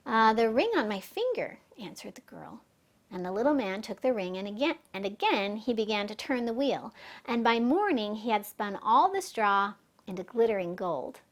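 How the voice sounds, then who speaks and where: A woman storyteller reading a fairy tale expressively for children. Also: mid-range voice.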